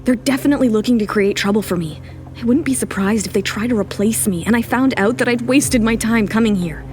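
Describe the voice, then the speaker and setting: A woman's voice: fairly high pitch, fairly quick, darkish tone, a little hiss or hum, natural voice. A woman voicing a proud, independent young model who speaks with composed determination in an audio drama. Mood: determined.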